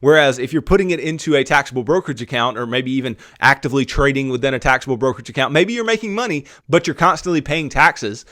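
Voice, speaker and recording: A man's voice: mid-range voice, fast talker, few pauses, fairly bright tone, no room sound, clean studio sound. A man hosting a finance podcast, explaining money topics in a conversational monologue that keeps checking in with "right?" and "okay?". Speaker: podcast host.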